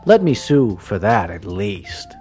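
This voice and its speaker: A man voicing a pushy, scheming male lawyer who wheedles and coaxes in a raised, lively voice. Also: on the low side, slow, faint music behind. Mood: wheedling.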